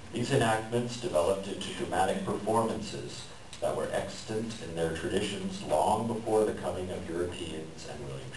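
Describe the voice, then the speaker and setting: A man with a deep voice gives a formal introduction to a staged reading. He is picked up from a distance in an echoey room with clear background noise. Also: a little mellow. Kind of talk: formal introduction and read author's note. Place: library room, live event.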